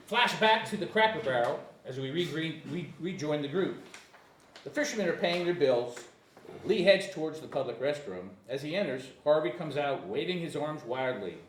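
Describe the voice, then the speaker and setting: A man narrates screenplay stage directions in a lively, swinging delivery. He trips on the odd word. The room is echoey and the mic sits at a distance, with faint noise. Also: fairly high pitch, moderate pace. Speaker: narrator at a screenplay table reading.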